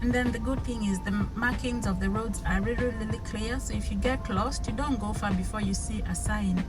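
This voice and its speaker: A woman's voice: mid-range voice, a little fast. A woman from Africa whose first language is not English, chatting casually to her viewers while driving, often laughing and repeating words for emphasis. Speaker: vlogger. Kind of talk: casual driving vlog chat. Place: inside a car. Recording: loud music underneath.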